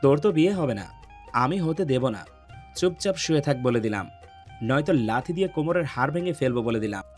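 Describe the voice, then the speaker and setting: A man plays a lovesick young man in a romance audio story. His voice is heavy and gruff, and he broods and orders people around with menace. Faint music runs behind him. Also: expressive, a little fast, natural voice. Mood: threatening. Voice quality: deep, heavy voice.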